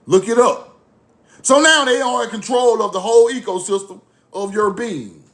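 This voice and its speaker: A man with a high, gravelly voice, talking bluntly and repeating his points. He swears freely and lectures with a preacher's push. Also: normal speed, a bit bright. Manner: blunt, repetitive, profane, preachy. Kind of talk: fiery informal lecture.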